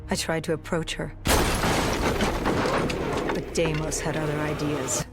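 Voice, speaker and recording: Low-voiced, intense soldier. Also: female voice, level tone, a little slow, loud music behind the voice. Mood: grave, haunted. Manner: intense, serious, earnest. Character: grave, determined female soldier recounting dark events. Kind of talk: dramatic video game acting.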